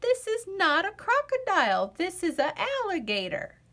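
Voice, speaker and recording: A woman's voice: very high voice, huge pitch swings, moderate pace, fairly bright tone, pitched above the performer's own voice, full character voice. A woman voicing a stubborn, fussy little girl who objects emphatically in a children's storybook. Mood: emphatic. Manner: emphatic, matter-of-fact objections. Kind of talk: children's storybook read-aloud.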